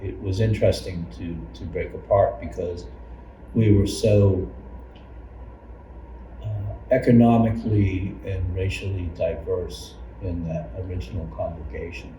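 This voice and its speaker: A man informally recalling his church's past in a group interview, halting and repeating words. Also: low-pitched, fairly even intonation. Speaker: longtime church member being interviewed. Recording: noisy, echoey room, far from mic.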